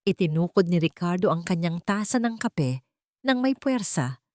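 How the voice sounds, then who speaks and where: Filipino woman, audiobook narrator, slightly low pitch, dramatic scripted storytelling, dry sound. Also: very animated, normal speed, a little mellow, no echo.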